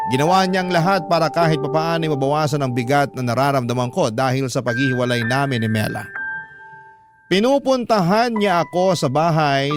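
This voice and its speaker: A man's voice: mid-range voice, lightly acted. An actor playing a sentimental young man who narrates his love story for a radio drama in an earnest, confiding way. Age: young adult.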